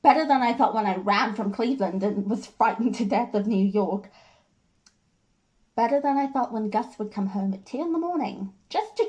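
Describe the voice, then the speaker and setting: A woman acting a hurt, defiant, fiercely loving wife in a theatrical stage monologue, with emphatic, drawn-out delivery. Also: on the high side, warm tone, lightly acted.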